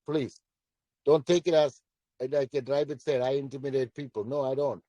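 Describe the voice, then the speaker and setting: Commanding man with a mellow, dry voice. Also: mid-range voice, fairly even intonation, moderate pace, no echo. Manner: blunt, emphatic, repeats himself. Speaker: project founder answering questions. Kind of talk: live audio Q&A.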